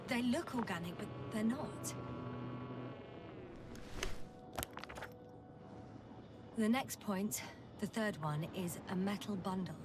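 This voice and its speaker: A woman playing a focused scientist exploring an alien planet in a video game, measured and matter-of-fact with a dry edge. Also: medium pitch, distant mic, natural voice. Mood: focused, thoughtful. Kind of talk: naturalistic game voice acting. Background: game music.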